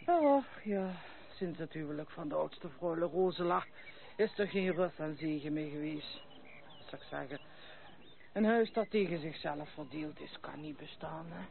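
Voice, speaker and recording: An actress playing a good-natured, gossipy farmer's wife in a radio play, rambling. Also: female voice, sits low, animated, moderate pace, gravelly. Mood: wistful, folksy. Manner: rambling, folksy, chuckling. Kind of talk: Dutch radio play acting. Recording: noisy, thin phone-like old radio recording.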